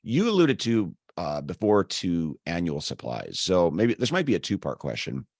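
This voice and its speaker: Low, slightly scratchy American man. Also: huge pitch swings, normal speed, no room sound, clean studio sound. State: losing his voice, slightly scratchy. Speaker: podcast host. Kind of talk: casual interview chat.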